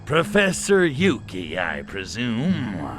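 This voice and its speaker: An actor playing a crude, wisecracking alien invader in a video game, with a sarcastic, slangy American delivery. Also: male voice, medium pitch, huge pitch swings, takes it slowly, full character voice. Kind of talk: video game cutscene acting. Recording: loud music behind voice.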